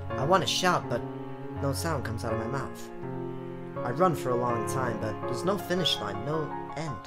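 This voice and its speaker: A man doing a let's play, reading visual novel narration aloud in a theatrical, dramatic way with joking asides. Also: medium pitch. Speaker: gaming YouTuber. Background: game music.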